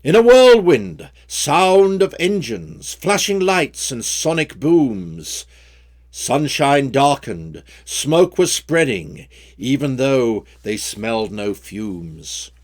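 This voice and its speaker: A man reciting his own rhymed story poems in a measured, sing-song metrical way. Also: medium pitch, big pitch swings. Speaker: poet reading own poems.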